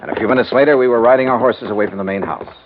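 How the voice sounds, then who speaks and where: An actor playing a cool, wry American investigator in a 1950s radio crime drama, brisk and laconic, both narrating and in dialogue. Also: male voice, medium pitch, background noise, close to an everyday voice. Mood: measured narrating. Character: cool wry male insurance investigator, narrating his case. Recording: tinny old radio recording with music.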